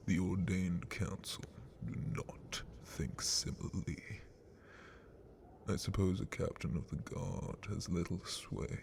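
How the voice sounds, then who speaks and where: A very slow, gravelly male dragon captain for an ASMR roleplay. He half-whispers with haughty contempt and sadistic menace, drawing out each phrase theatrically. The breath is close and rough. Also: sits low, full character voice. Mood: disdainful, brooding.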